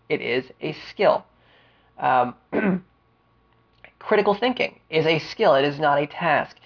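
A man hosting a solo career-advice podcast, smooth-voiced and deliberate, with a cold and a sniffle, explaining with frequent fillers. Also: fairly high pitch, muffled recording. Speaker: podcast host.

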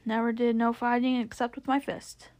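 An honest London cabby from a storybook, acted out by a woman, with a Cockney accent. He is humble and plain-spoken, picking his words haltingly and deferring respectfully. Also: a little high, flat delivery, a little mellow, close to an everyday voice. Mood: humble, earnest. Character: humble, honest working-class male London cab driver.

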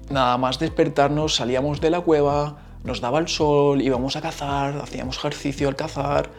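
A man's voice: fairly high pitch, steady tone, moderate pace, faint music behind. A man with a Madrid Spanish accent, hosting a casual solo podcast, chatty and explaining things with asides. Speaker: podcast host.

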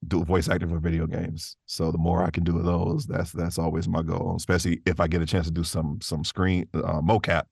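A man's voice: deep voice, level tone, a little fast, creaky, few pauses, a little mellow, husky, dry recording, clean studio sound. A man speaking informally as a guest voice actor in a casual interview, chuckling between phrases.

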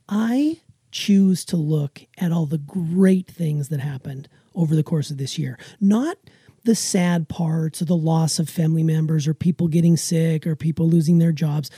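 A woman's voice: on the low side, dark tone, dry recording, clean studio sound. A middle-aged woman, chatting emphatically and with self-assurance as a podcast co-host. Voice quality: raspy. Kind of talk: casual chat with emphatic opinion.

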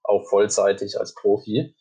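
Young Belgian man, East Belgian German accent, dark even tone, unhurried interview answers. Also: fairly low pitch, vocal fry, breathy edge. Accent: German-speaking East Belgium. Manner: relaxed, even delivery with frequent fillers. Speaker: biathlete guest.

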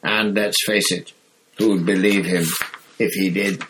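A man's voice: sits low, fairly even intonation, unhurried, background noise. A man voicing an irritable, pompous middle-aged minister.

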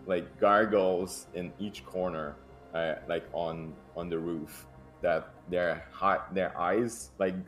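A man narrating a tabletop game as game master, hesitant with many fillers and restarts. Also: sits low.

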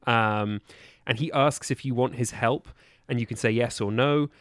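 A man's voice: medium pitch, moderate pace, clear, resonant tone, no echo. Man chatting casually, close dry sound. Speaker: podcast panelist. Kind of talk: casual book discussion.

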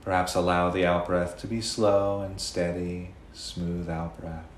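A man leading a guided meditation in a gentle, unhurried way, leaving long pauses between phrases. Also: low voice, flat delivery. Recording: roomy echo, faint background noise.

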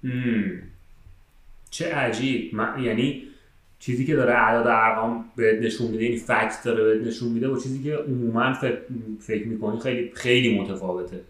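A man's voice: medium pitch, fairly even intonation, a bit bright, echoey room. A man with an Iranian Persian accent and English loanwords, co-hosting a casual podcast, thinking aloud and rephrasing as he gives opinions. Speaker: podcast co-host. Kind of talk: casual chat, giving opinions.

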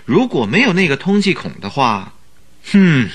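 A man playing an earnest, excitable sidekick in a Mandarin radio drama, questioning and exclaiming in an admiring, puzzled way. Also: on the high side, normal speed, breathy edge, full character voice.

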